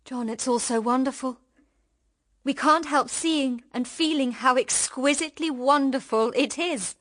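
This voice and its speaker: A woman's voice: high-pitched, a little slow, fairly bright tone. An actress playing an ardent, devoted young British woman in love, in an intense, earnest radio drama style. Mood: tender, wondering. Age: young adult.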